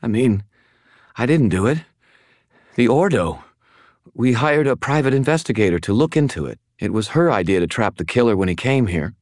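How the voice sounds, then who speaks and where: Man voicing a thready, frightened woman, pitched up. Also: expressive, normal speed, a little mellow, no echo, clean studio sound, lightly acted. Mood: confused, flustered. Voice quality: thready, breathy. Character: frightened, pleading adult woman, timid but indignant.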